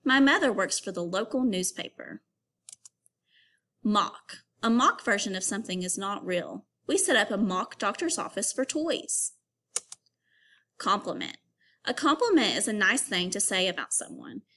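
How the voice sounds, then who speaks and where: A woman teacher reading an informational text aloud to young children, pausing to give instructions and put questions to them. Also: medium pitch, lively intonation, a bit bright.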